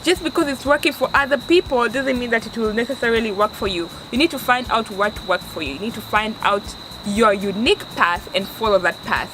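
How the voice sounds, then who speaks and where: Young Tanzanian-accented woman in her twenties, earnest vlog advice, voice a little high, steady noise underneath. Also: brisk. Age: 20s. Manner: earnest, restarts often, stresses words for emphasis. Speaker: vlogger.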